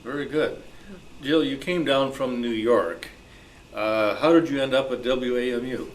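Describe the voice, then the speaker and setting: A man's voice: medium pitch, measured pace, slight background noise, rough recording. A man acting as moderator of an informal club panel, putting questions to the speakers.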